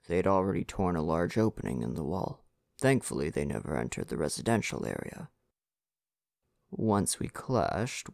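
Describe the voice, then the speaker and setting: Male actor as devoted storybook prince, ASMR roleplay: gravelly, breathy, soothing, courtly phrasing, very dry sound. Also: sits low, darkish tone, dry recording, heavily acted. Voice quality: breathy, often dropping to whispers. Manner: soothing, formal old-fashioned phrasing. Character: tender, devoted prince fiancé back from war.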